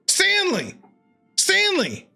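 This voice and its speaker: A man commentating over a video game as he plays, excitable and sarcastic. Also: very high-pitched, drawn-out words, vocal fry. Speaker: gaming streamer. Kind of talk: let's-play commentary. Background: game music.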